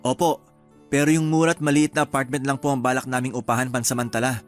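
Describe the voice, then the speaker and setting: A man's voice: mid-range voice, little pitch movement, fast, soft background music, natural voice. A man acting an affectionate young fiancé and doting son in a Filipino radio drama, eager and sweet-talking. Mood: agreeable, coaxing.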